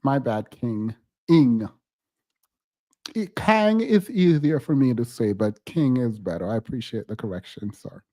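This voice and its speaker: A man's voice: mid-range voice, lively intonation, warm tone, no room sound. Teasing man chatting on a livestream. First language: not English. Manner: playful, teasing, drawn-out emphatic words. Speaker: livestream host. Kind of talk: casual livestream chat.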